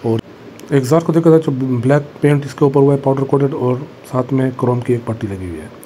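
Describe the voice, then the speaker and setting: Man calmly reviewing a motorbike. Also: mid-range voice, a little hiss or hum. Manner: plain, matter-of-fact, pauses with fillers. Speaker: motorbike vlogger. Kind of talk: casual walkaround bike review. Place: indoor motorbike showroom.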